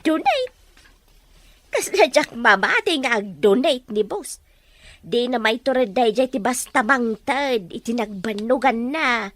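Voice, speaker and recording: A woman playing an inquisitive, expressive character in an audio drama, speaking with an Ilocano accent and a questioning manner. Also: on the high side, slow pace, no room sound, full character voice. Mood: doubtful, gossipy. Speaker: drama performer.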